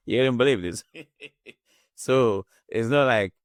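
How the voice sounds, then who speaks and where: A man from Ghana, a guest author on a remote call, telling his story in a relaxed, rambling way and often saying "you know". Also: mid-range voice, slow pace, no room sound, clean studio sound. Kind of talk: casual interview.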